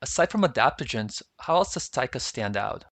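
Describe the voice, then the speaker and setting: A man's voice: medium pitch, big pitch swings, brisk, a bit bright, dry recording. A male podcast host asks his guests direct, inquisitive questions. His voice sits in a middle range. The recording is dry and close, with little room sound. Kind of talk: interview questions.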